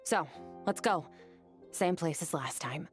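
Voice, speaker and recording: A woman voicing a calm, solemn swordswoman mentor in an anime video game, speaking in a measured, restrained way. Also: deep voice, faint music behind. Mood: resolute.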